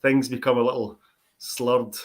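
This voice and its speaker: A man's voice: mid-range voice, flat delivery, a little slow. A man co-hosting a podcast in jokey, laid-back casual chat over a video call. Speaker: podcast co-host.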